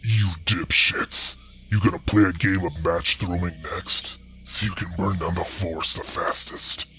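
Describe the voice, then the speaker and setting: A man growling as a raspy old menacing bear. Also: low voice, steady tone, unhurried, background noise, voice pushed down. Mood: scolding. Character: old ragged male bear, angry and menacing.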